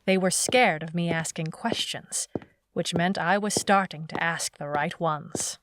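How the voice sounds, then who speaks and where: A woman's voice: on the low side, a little slow, very noisy, natural voice. A woman playing a wry, defiant noir private eye in an audio drama, in narration and dialogue. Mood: brooding narration. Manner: hardboiled, wry. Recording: music behind the voice.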